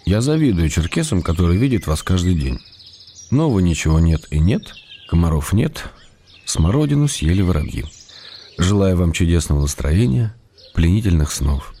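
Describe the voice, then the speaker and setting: An actor playing a playful, courteous male writer who reads his affectionate letters in a measured, teasing way for a radio play. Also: low-pitched, very animated, dark tone, a little hiss or hum. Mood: playful, gallant. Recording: radio drama with clear music behind.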